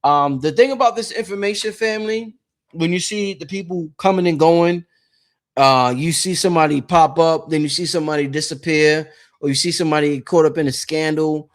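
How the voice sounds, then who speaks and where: High-pitched man in a casual, rambling monologue. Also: a little fast. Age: late 30s. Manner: rambling, repeats phrases for emphasis. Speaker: host of a spirituality channel. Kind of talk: casual spiritual monologue to viewers.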